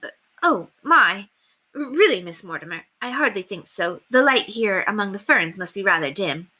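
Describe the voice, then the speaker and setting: Woman playing an earnest, bright-voiced young governess. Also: mid-range voice, animated, normal speed. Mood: flustered, modest. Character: earnest, modest young governess, eager and proper.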